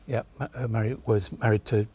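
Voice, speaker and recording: A man's voice: lowish voice, little pitch movement, fairly quick, warm tone, husky. A man answering as a quiz contestant, thinking aloud and tentatively checking his answers.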